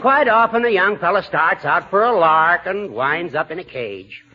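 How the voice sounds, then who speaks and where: An actor playing a prickly, folksy old judge in a 1940s radio comedy. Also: male voice, high voice, expressive, normal speed, some chatter behind. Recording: dull 1940s radio recording.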